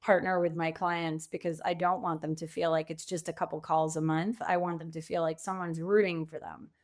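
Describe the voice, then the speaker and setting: A woman speaking conversationally as a podcast guest and coach, telling stories in an animated way with bits of quoted dialogue. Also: deep voice, brisk. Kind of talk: conversational interview.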